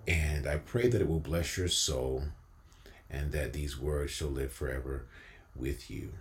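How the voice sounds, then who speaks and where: A man with an exceptionally low, bass voice speaks as a motivational storyteller. His delivery is earnest and deliberate, with solemn phrasing suited to an inspirational address.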